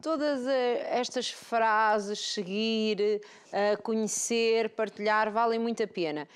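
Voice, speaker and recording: A woman's voice: a little high, takes it slowly, clear, resonant tone. Woman from Portugal, talk show co-host, chatty, emphatic, keeps repeating points. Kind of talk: casual chat.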